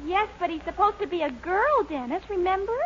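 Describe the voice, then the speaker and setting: Very high-pitched, fretful, bossy girl. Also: expressive, brisk. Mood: exasperated, panicky. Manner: scolding, exasperated. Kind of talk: 1940s radio sitcom acting. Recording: noisy, muffled 1940s radio with audience.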